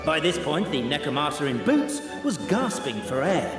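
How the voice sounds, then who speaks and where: A man's voice: on the high side, very animated, normal speed. A man voicing a cheeky, theatrical minion in a video game, given to stammering and mock-storybook narration. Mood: mock-dramatic. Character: cornered, cheeky, theatrical male minion narrating his own escape. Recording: echoey hall reverb, music behind.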